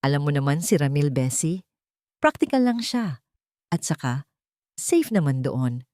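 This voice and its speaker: A woman's voice: low voice, very animated, unhurried, darkish tone, no echo, studio quality. A woman voicing a two-faced female best friend and secret mistress in an audio drama, dismissive and calculating. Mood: falsely soothing.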